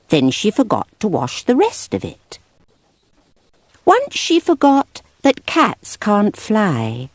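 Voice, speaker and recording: A woman reads a picture book aloud for young children. Her voice sits low and moves at an unhurried pace, rising and falling expressively as she tells the story. The sound is close and dry. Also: darkish tone, dry recording.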